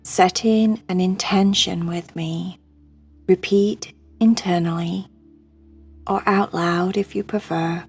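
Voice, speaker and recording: A woman guiding a sleep meditation in a hushed, soothing, breathy voice that often nears a whisper. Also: fairly low pitch, fairly even intonation, slow, faint music behind. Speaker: meditation guide.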